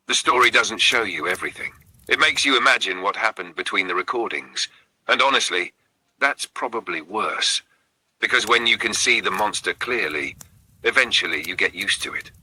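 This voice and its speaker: Male horror narrator: raspy, very forceful tone, suspenseful delivery in short, dramatic phrases. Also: on the low side, no echo, low-quality recording. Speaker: narrator of a horror storytelling channel. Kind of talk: scripted narration, spooky campfire storytelling.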